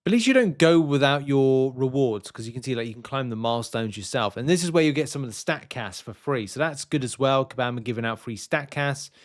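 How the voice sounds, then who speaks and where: A man's voice: medium pitch, expressive, fairly quick, hardly any pauses. Man casually talking through gaming news. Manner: hesitant, rambling, thinking aloud. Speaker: gaming video host. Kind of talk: casual game news commentary, reading announcements and musing.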